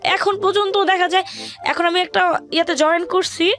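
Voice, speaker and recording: A young Bangladeshi woman speaks in a high voice as a guest recounting her hardships. She talks colloquially and at length, wandering a little and repeating her phrases as she goes. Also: steady tone, normal speed, fairly bright tone. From rural Bangladesh. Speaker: guest telling her life story. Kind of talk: personal hardship story in an interview.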